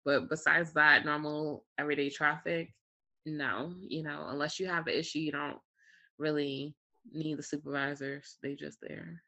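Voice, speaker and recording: A woman's voice: sits low, moderate pace, gravelly. A woman speaking in a casual, conversational interview style, telling things through little acted-out quotes with lots of likes and you-knows. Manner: animated storytelling with acted-out quotes, frequent "like" and "you know". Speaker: air traffic controller, interview guest.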